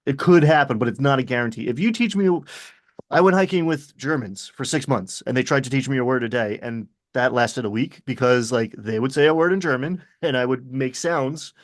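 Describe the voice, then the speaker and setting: A man talking casually as a game master, telling stories in an animated way and acting out little asides. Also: mid-range voice, a little fast. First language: English. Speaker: game master discussing his tabletop games. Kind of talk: casual conversational chat.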